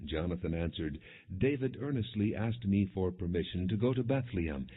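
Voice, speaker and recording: A man narrating scripture as an audiobook, reading the story and its quoted dialogue in a measured, expressive way. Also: sits low, breathy edge. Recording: muffled narrowband, phone-like audio.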